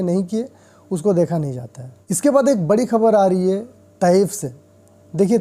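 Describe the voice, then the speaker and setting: A man's voice: high-pitched, mellow. A man with an Indian accent presenting an informal news update, explaining things directly to viewers with examples.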